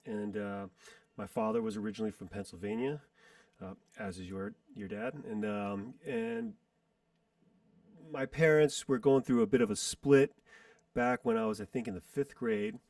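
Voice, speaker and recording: A man's voice: mid-range voice, a little mellow, dry recording. Forties male guest, rambling storyteller, dry sound from a distance. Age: 40s. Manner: rambling, reminiscing, frequent pauses to recall. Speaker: guest musician and entrepreneur. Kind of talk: casual interview storytelling.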